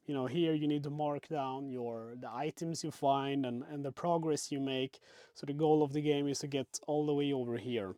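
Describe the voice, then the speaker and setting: A man hosting a board game channel, talking casually through a game's components, his voice raspy from illness. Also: fairly high pitch, no room sound, clean studio sound. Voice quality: raspier than usual. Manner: relaxed, many fillers and restarts. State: sick with a cold-like illness, raspy.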